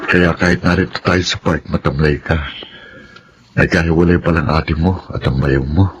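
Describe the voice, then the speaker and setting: Low-voiced, earnest young suitor. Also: male voice, steady tone, normal speed. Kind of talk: Filipino radio drama acting. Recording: muffled, noisy radio drama recording.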